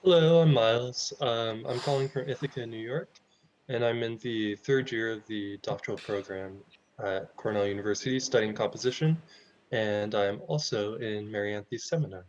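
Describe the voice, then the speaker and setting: Low-voiced man on a lo-fi call. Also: moderate pace. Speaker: doctoral student. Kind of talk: casual video call introduction. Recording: dull lo-fi sound.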